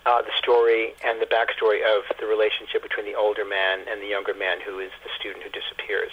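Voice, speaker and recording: A man's voice: lowish voice, completely flat delivery, fast talker, husky. A man giving conversational interview answers as a guest over the telephone, often repeating words as he explains. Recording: muffled narrow phone line.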